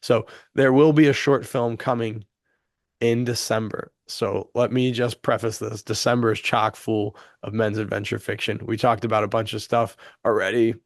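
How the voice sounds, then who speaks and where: A man's voice: fairly low pitch, normal speed, no echo, clean studio sound. A man co-hosting a podcast in casual, enthusiastic chat, earnest and slangy. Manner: earnest, animated wording with slangy "dude" and "like". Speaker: podcast co-host.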